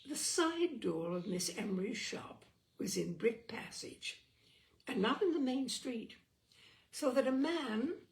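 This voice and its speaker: A woman reading a literary story aloud as narrator, expressively, with theatrical, deliberate phrasing and dramatic pauses. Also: fairly high pitch, animated. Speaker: audiobook reader and storyteller.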